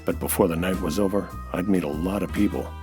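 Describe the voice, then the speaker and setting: An actor playing a suspicious, hard-boiled American nightclub owner who narrates in a wry, terse radio noir style. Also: male voice, low voice, quick pace, over loud music, natural voice. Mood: brooding, wry. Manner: wry, terse, tough-talking. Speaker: actor playing lead detective-style hero. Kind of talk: old-time radio noir monologue and dialogue.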